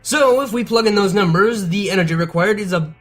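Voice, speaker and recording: A performer voicing an excitable, nerdy pony scientist, explaining precisely in a scripted educational dialogue. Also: medium pitch, brisk, natural voice. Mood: methodical. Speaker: science explainer in an animated analysis show. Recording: music behind the voice.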